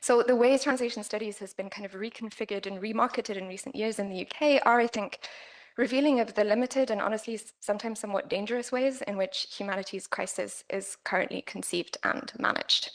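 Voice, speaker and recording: A woman presenting an academic paper at a conference, with a quiet voice and frequent "um"s. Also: medium pitch, brisk. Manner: quiet-voiced, careful with many fillers. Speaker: conference speaker. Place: conference room.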